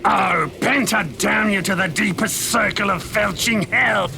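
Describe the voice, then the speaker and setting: An actor playing a spiteful, unrepentant villain in a radio drama, with a gravelly voice and venomous, florid delivery. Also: male voice, high-pitched, measured pace, heavily acted. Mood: raging, gloating. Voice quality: creaky, gravelly. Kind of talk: cinematic radio drama acting. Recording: loud dramatic music under voice.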